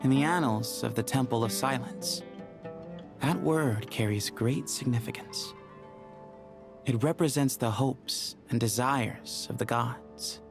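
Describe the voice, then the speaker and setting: An actor playing an easygoing, learned man of a desert temple in a video game, with a gravelly voice. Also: medium pitch, a little slow, over music, natural voice. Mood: measured, reverent.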